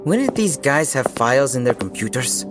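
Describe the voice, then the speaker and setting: A man's voice: mid-range voice, big pitch swings, normal speed, over loud music, natural voice. A man playing a confident young field agent in an audio drama, speaking in a terse, casual way. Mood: brisk, curious.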